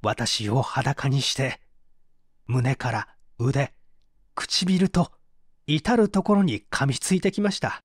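An actor playing a weary, fatalistic murder suspect giving a dramatic confession in a mystery audio drama. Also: male voice, mid-range voice, slow pace, slightly rough, no echo, studio quality. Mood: anguished, resigned. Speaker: voice actor reading a story.

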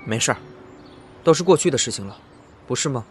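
A man's voice: mid-range voice, animated, fast, soft background music, natural voice. A man acting a patient young suitor in an audio drama, coaxing and half-teasing. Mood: reassuring.

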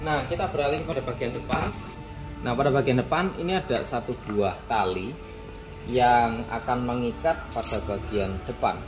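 A man's voice: mid-range voice, moderate pace. A man running a casual, chatty hands-on product demo in an indoor motorcycle shop, talking to viewers like friends. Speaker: motorcycle gear shop owner demonstrating a product. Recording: echoey room with background music. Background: background music.

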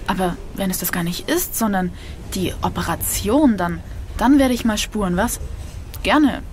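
A woman's voice: mid-range voice, expressive, moderate pace, a little mellow, a little hiss or hum, natural voice. An actress playing a defiant, grieving young woman in an audio drama, blunt, sarcastic and slangy. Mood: bitter, mocking. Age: young adult. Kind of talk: naturalistic German audio drama acting.

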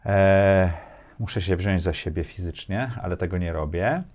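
A man's voice: deep voice, moderate pace, a little mellow, lo-fi sound. A man in his forties hosting a podcast interview in a casual, conversational style. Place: small furnished studio room with bookshelves, indoors.

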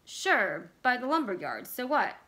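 A stubborn, exasperated husband from a story, read by a woman. The delivery is forceful and argumentative, pitched fairly high with a bright edge. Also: very animated, measured pace, heavily acted. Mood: dismissive. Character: exasperated, stubborn husband arguing with his wife.

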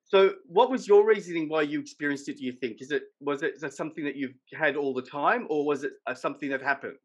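A man's voice: a little high, fast talker. A middle-aged man hosting a podcast interview over a video call on a headset mic, asking friendly, chatty questions. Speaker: podcast host.

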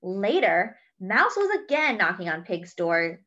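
A woman reading a children's picture book aloud, expressive and chatting with the young listener between pages. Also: mid-range voice, measured pace, lo-fi sound. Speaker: children's story reader. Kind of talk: read-aloud storytelling.

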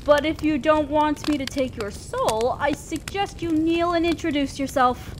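A woman playing a haughty, teasing female demon lord in a roleplay audio drama, with a drawn-out, condescending, theatrical delivery. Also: sits high. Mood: imperious. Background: crackling fire.

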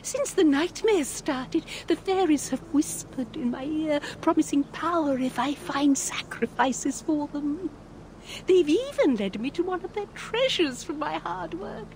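Deranged fairy devotee: sugary, sing-song, very high game voice, faint noise behind. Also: female voice, lively intonation, unhurried, darkish tone, rough recording. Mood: sweetly menacing. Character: sweetly deranged, fairy-obsessed woman performing sacrifices. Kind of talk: video game cutscene acting.

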